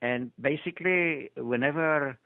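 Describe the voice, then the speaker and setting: A man's voice: a little high, a little slow, dry recording. An older man with a Polish accent, a doctor being interviewed, telling his story with many hesitations and restarts. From Poland. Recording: tinny phone-line audio, dry.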